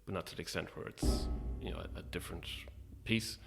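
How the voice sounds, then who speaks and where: A man's voice: deep voice, fairly even intonation, fairly quick, creaky, over loud music. A man chatting casually as an interview guest about his own creative work, in a hesitant, reflective way. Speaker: playwright and actor, interview guest.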